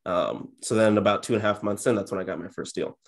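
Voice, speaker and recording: A man in his twenties chatting casually as an interview guest on a video call, in a low-key, monotone manner. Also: fast talker, vocal fry. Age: 20s. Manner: low-key, introverted, self-described monotone. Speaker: interview guest, real estate agent and YouTuber. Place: indoor home office, on a video call.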